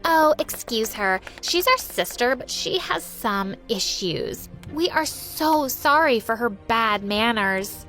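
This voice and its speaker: A woman's voice: fairly high pitch, animated, slow, hardly any pauses, fairly bright tone, soft background music. A woman voicing a smug teenage sister who apologizes with fake politeness. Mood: fake-polite.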